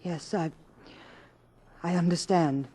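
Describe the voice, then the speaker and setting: Earnest, anxious, principled heroine. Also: female voice, lowish voice, steady tone, slow pace, dark tone, breathy edge. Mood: hushed, worried. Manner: pleading, tense, sincere. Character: earnest, anxious, principled woman pleading for the world. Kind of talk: 1950s radio drama acting.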